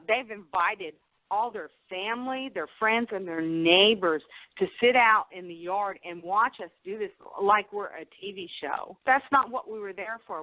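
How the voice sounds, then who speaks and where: A woman hosting a talk show in a casual, earnest, advising conversational style. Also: medium pitch. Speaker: show host. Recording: narrow phone-like radio sound.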